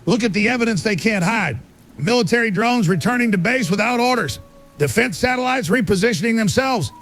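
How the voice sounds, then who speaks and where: Man acting high-pitched, gravelly conspiracy radio host. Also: expressive, fairly quick, fairly bright tone, soft background music. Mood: urgent, alarmed. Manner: urgent, insistent, addresses listeners as folks. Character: alarmist male conspiracy radio host exposing a cover-up. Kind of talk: dramatic conspiracy talk radio monologue.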